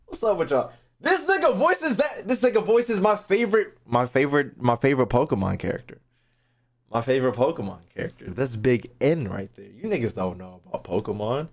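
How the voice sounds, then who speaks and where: A man's voice: mid-range voice, big pitch swings, a little fast. A young man giving casual live-stream commentary on a game, brash, slangy and profane, often repeating himself.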